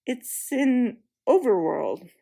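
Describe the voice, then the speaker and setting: A woman voicing a stout, stubborn non-human marsh creature who speaks bluntly and a bit haltingly, in a storybook reading. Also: a little high, big pitch swings, vocal fry, warm tone, husky, heavily acted. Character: gloomy, stubborn marsh-wiggle, stout and earnest.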